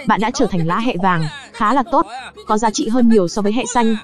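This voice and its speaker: A woman acting a brisk, bossy cartoon farmer. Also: on the high side, over music, close to an everyday voice. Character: brisk, bossy female farmer handling talking crops. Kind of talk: animated cartoon dialogue dub.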